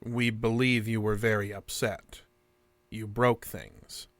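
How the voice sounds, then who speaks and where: A man's voice: on the low side, little pitch movement, slow pace, dry recording. A man playing a detached, formal interrogator from a mysterious force in a science fiction audio drama. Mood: clinical probing. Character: cold, clinical interrogator of a mysterious unseen force. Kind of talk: scripted audio drama acting.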